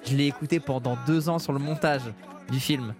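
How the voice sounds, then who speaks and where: Fast-talking animated male comedian. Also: mid-range voice, music in the background. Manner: animated anecdotes with frequent restarts and acted-out quotes. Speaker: comedian and filmmaker, interview guest. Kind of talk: casual interview storytelling. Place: studio with glass screens.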